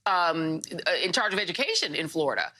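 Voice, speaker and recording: Fast-talking bright woman hosting a news panel. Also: fairly low pitch, animated. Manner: quick, wry and teasing, drives the questions. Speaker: news show host. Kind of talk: cable news panel discussion.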